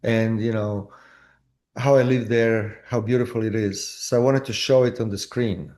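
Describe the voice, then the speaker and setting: Halting low-voiced man from Croatia. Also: fairly even intonation, darkish tone. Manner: halting, with frequent restarts and fillers. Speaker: filmmaker guest. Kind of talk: casual interview.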